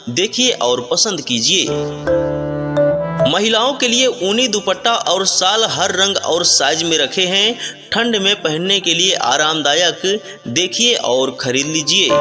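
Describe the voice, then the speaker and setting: A man reading a scripted market sales announcement as a street loudspeaker announcer, plainly urging buyers in a repetitive pitch. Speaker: street loudspeaker announcer for a winter clothing seller. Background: music.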